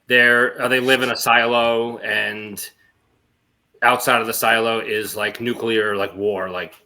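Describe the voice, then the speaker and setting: A man with a bright, forward voice at a medium pitch chats as part of a panel. His phrasing is short and informal. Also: flat delivery, unhurried. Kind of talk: casual chat.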